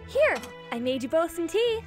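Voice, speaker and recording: A woman's voice: extremely high pitch, very animated, normal speed, over music. A woman voicing a small, perky, caring female demon maid, cute and eager, in a cartoon comic dub.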